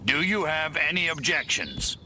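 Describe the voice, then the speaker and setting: An actor playing a small, arrogant and impatient alien invader in a video game, speaking in an imperious, sardonic way. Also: male voice, a little high, big pitch swings, moderate pace, background noise. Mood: impatient, threatening. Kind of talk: video game cutscene acting.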